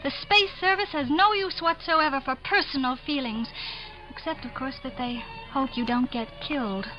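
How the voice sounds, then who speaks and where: Woman playing a sweet spirited american wife in a 50s radio drama, high voice, muffled old sound. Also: moderate pace, over music, lightly acted. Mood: wistful. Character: affectionate, spirited young wife, a space service lieutenant. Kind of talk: 1950s radio drama acting.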